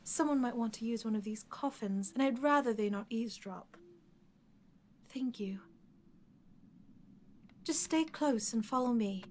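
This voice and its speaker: High-voiced lovestruck undead bride played by a woman. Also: measured pace, long pauses, a little hiss or hum, lightly acted. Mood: relieved, tender. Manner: soft, earnest, pleading, hesitant stammer. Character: lovestruck undead corpse bride, pleading, gentle, clingy yandere. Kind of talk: ASMR audio roleplay.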